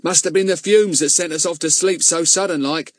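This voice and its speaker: A man's voice: on the high side, wildly swooping pitch, fairly quick, husky, no room sound, studio quality, pitched above the performer's own voice, heavily acted. A man doing a doleful, worried constable. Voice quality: mournful. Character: gloomy, doleful male police constable.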